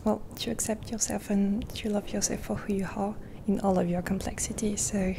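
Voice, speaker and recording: A woman speaking in a gentle, half-whispered ASMR roleplay, rambling softly and reassuringly with pauses, close to the mic. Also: mid-range voice, little pitch movement, gravelly, background noise. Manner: caring, reassuring. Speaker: ASMR creator. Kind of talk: soft-spoken ASMR roleplay.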